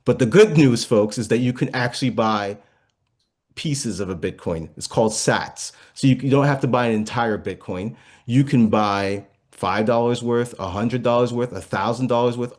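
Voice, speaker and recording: A man's voice: fairly low pitch, darkish tone. A man as an interview guest, explaining patiently, with frequent fillers and restarts. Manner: explains patiently, many fillers and restarts, asks listeners if it makes sense. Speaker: guest investment speaker.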